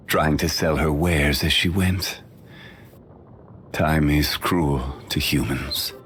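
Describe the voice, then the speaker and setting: An actor voicing an ancient, dignified male sage for a game, in formal, measured, unhurried speech. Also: low voice. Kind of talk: studio game voice lines.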